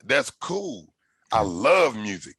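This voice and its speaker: A man hosting a podcast, telling stories in a loose, animated way, with slang and swearing. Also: fairly low pitch, slow, vocal fry, husky, dry recording, clean studio sound. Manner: animated, profane storytelling with slang. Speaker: podcast host. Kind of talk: casual podcast conversation.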